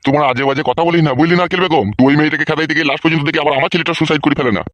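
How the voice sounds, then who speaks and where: Man acting weary, fast-talking old village father. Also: fairly high pitch, steady tone, a bit bright, dry recording, dull audio, lightly acted. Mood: scolding. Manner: peacekeeping, exasperated scolding. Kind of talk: comic cartoon dialogue.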